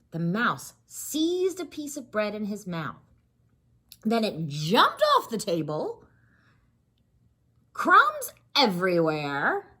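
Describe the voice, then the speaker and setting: A woman with a husky voice reading a children's story aloud expressively, pausing to explain and ask questions. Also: mid-range voice. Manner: expressive, explains and asks questions to young listeners. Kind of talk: animated read-aloud of a children's story with asides.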